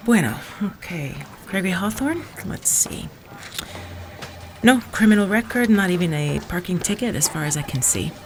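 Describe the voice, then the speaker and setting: Low-voiced motherly small-town sheriff. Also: lively intonation, normal speed, a little hiss or hum. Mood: friendly. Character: folksy, motherly small-town female sheriff, friendly but probing. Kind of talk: naturalistic audio drama acting.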